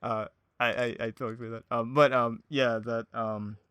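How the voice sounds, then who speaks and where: Casting: male podcast guest, clean smooth voice, mid pitch, halting casual storyteller who giggles easily, dry close sound. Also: flat delivery, fairly bright tone, no echo, lo-fi sound. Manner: hesitant, giggly, frequent laughter while talking. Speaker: podcast guest, audio drama producer. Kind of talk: casual anecdotal chat.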